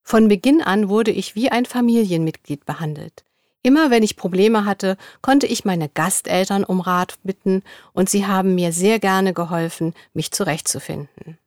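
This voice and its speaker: A young woman in a studio, reading interview answers in a scripted dialogue at an even, clear pace. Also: mid-range voice, normal speed, dry recording.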